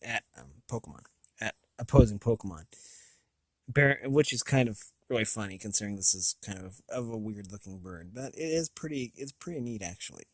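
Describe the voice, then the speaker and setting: An American man hosting a casual, unscripted review, thinking aloud in a halting, rambling way with a slightly raspy voice. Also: on the low side, expressive, dry recording. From United States. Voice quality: slightly raspy from long talking. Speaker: video host.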